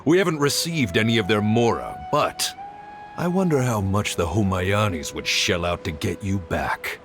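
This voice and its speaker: A man's voice: on the low side, lively intonation, moderate pace, music in the background, lightly acted. A man playing a cocky, mocking villain, the boss of a kidnapping mercenary gang in a video game. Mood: smug, menacing. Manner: mocking, smug. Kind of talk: English video game voice acting.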